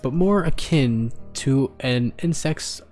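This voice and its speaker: A man's voice: medium pitch, animated, slow, darkish tone, faint music behind. A man reading as a pony doctor dictating grim research notes in a formal, clinical manner, for a storybook. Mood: clinical, detached.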